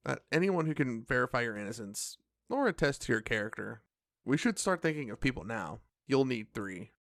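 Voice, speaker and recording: A man playing a calm, protective police officer in an audio drama, measured and reassuring, with a creaky voice. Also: mid-range voice, no echo, studio quality. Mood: calm, helpful. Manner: measured, reassuring, matter-of-fact.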